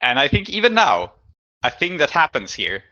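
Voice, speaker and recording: A man with a very bright, forceful voice at medium pitch, heard through slightly dull, lo-fi audio. He works as game master, improvising narration for the players. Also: wildly swooping pitch, normal speed.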